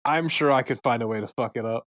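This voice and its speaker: A man's voice: mid-range voice, fast, fairly bright tone, muffled recording. A man doing casual, joking co-commentary on a video game, wry and sweary. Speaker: let's play co-commentator.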